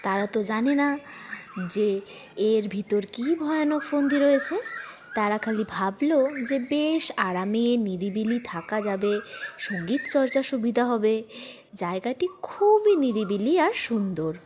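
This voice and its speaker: A woman narrating a scripted story as host, in an expressive storytelling style. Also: fairly high pitch, unhurried, background noise. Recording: music under the voice. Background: background music.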